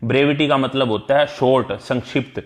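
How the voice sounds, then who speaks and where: Indian guy teaching exam prep, medium pitch, explains stuff clearly step by step and repeats key terms. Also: brisk. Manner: didactic, repeats key terms and checks understanding. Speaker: instructor. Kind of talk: explanatory exam-prep tutorial, mixing Hindi and English.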